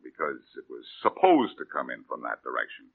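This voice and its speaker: An actor playing a cocky, wisecracking male detective in 1940s radio-drama style, with a husky voice. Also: brisk, full character voice. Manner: breezy, joking, lively pitch. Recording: narrow phone-like old radio broadcast.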